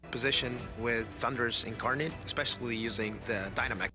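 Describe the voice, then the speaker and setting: A man's voice: mid-range voice, a little fast. A man from Portugal with a Portuguese accent, speaking as a competitor in a pre-match interview. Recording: music under the interview. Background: music.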